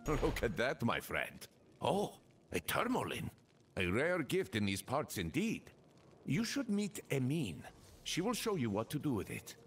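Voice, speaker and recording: An actor playing a chatty, sly, jovial young desert rogue in a video game, with theatrical banter. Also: male voice, mid-range voice, wildly swooping pitch, measured pace, soft background music. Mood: cheerful. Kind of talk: video game character dialogue.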